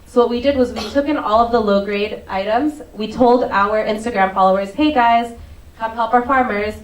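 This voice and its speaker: A young woman from Singapore giving a relaxed, friendly stage talk to an audience as a business development head, with plenty of ums and uhs. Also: on the high side, moderate pace. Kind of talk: presentation talk to a live audience. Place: event stage before an audience. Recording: roomy venue sound, distant mic, faint ambience.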